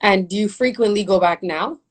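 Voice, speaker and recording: A woman hosting an interview, asking questions in a chatty, animated way. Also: mid-range voice, brisk. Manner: chatty and animated, laughs often, asks follow-up questions. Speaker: interview host.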